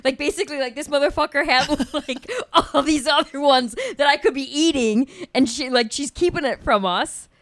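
A woman's voice: high voice, moderate pace, few pauses, dry recording, studio quality. A woman co-hosting a casual podcast chat, telling stories with lots of laughter and frequent swearing. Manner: animated storytelling, swears freely, laughs often. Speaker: podcast co-host.

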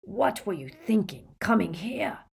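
A woman voicing a gruff, defiant old male pub barman in an audiobook, scornful and quick-tempered. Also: on the low side. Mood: gruff, scolding.

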